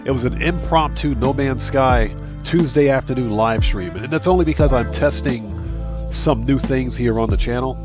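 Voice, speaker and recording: A man's voice: medium pitch, moderate pace. A man hosting a gaming live stream, greeting viewers by name in a casual, friendly way, sounding a bit nasal from a cold. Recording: music playing under the voice. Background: background music.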